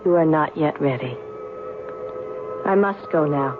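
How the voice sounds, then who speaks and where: An actress playing a calm, tender, enigmatic alien woman in a radio drama. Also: low voice, a little slow, over music. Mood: serene. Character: serene otherworldly alien woman, gentle and enigmatic. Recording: narrow-band old radio recording, clear noise.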